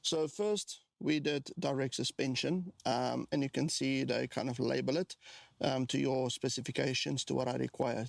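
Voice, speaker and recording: A South African man in his forties with a gravelly, slightly creaky voice at an ordinary middle pitch. He chats loosely to the camera as he shows off his bike, often stopping on an "um" before carrying on. The sound is dry and close, with no room around it. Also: no room sound. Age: 40s. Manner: chatty, with frequent ums and restarts. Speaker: motorbike owner showing his build. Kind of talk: casual walkaround talk to camera.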